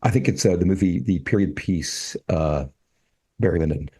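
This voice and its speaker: An older man co-hosting a casual talk show, speaking haltingly with many fillers and restarts. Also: low-pitched, animated, mellow, breathy edge. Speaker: podcast co-host. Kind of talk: casual chat.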